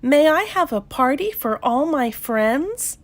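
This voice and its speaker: A young goat girl from a children's storybook, played by a woman reader. She is wistful and eager, with a childlike, plaintive manner and slow, drawn-out delivery. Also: high-pitched, very animated, voice pushed up, exaggerated voice. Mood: excited.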